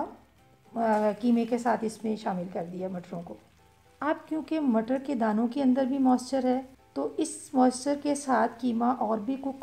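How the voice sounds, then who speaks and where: Gravelly-voiced woman explaining a recipe. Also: fairly high pitch. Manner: calm step-by-step explaining with fillers. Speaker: cooking channel host. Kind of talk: voice-over cooking instruction.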